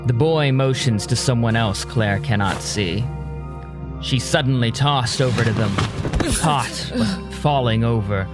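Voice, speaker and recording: A man narrates a dark audio drama as storyteller. His delivery is slow, ominous and deliberate at a medium pitch. Prominent music runs beneath his voice. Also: lively intonation. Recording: loud music under the voice.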